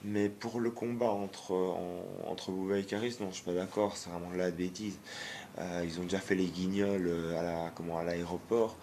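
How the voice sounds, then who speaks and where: A man, hoarse from a cold, talks in a deep, gravelly voice. He hesitates often, restarting phrases, yet his judgments come out blunt. A faint hiss of noise sits behind him. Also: level tone, normal speed, dark tone. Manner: hesitant, many fillers and restarts; blunt, disapproving. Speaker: YouTuber. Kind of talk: casual vlog rant.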